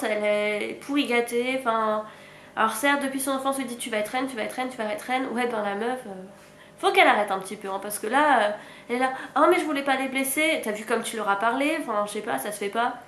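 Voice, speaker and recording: A woman's voice: a little high, fairly bright tone, reverberant, slight background noise. A young woman with a stuffy cold, chatting frankly about her reading as a book vlogger, with sighs and small laughs. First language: French. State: sick with a stuffy nose. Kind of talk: casual reading update.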